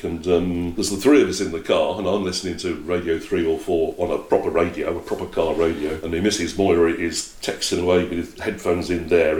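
A man in his sixties, a comic songwriter telling stories to a live audience in a loud, theatrical voice with precise diction. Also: deep voice. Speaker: comic songwriter and performer guest. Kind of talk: anecdotal chat with a live audience. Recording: echoey room with loud guitar.

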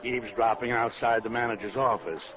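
A man's voice: fairly low pitch, fairly even intonation, a little fast, fairly bright tone, slight background noise, natural voice. An actor playing a glib, pompous older male swindler with wordy, flowery speech in a 1940s radio drama. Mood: smug, sly. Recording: tinny, muffled 1940s radio recording.

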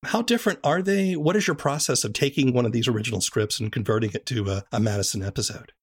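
A man with a medium-pitched voice works as interviewer. He talks casually and conversationally, putting questions to his guest. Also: fast talker, husky.

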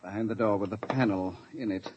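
A man's voice: on the low side, fairly quick, highs cut off, lightly acted. Older professor, male actor: guarded, stern, clipped formal phrasing, noisy 1950s radio recording. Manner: formal, curt. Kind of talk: 1950s radio drama acting.

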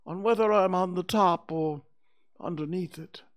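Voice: medium pitch, moderate pace, pitched above the performer's own voice, lightly acted. A storybook reader voicing a proud, naive, lovable bear with a growly voice. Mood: modest pride. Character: slow-witted, proud, lovable toy bear. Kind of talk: children's storybook character reading.

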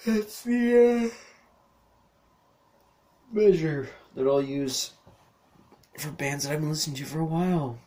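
A man's voice: a little high, a little slow, pauses often, warm tone. A man in his thirties talking on his own in a rambling solo monologue, with lots of fillers, restarts and casual swearing. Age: 30s. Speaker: podcast host.

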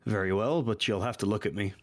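Man playing a deep-voiced, formal military captain. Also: no echo, clean studio sound, lightly acted. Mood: controlled ardor. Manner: formal, measured, restrained. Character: formal, controlled military captain, devoted yet treacherous. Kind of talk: audio drama acting.

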